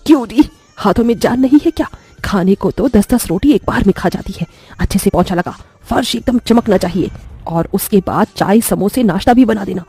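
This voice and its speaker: A woman's voice: mid-range voice, animated, normal speed, husky, soft background music. A woman playing a spiteful middle-aged stepmother in a cartoon melodrama, haughty and taunting. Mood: scolding. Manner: taunting, haughty, sharp-tongued. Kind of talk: Hindi animated melodrama acting.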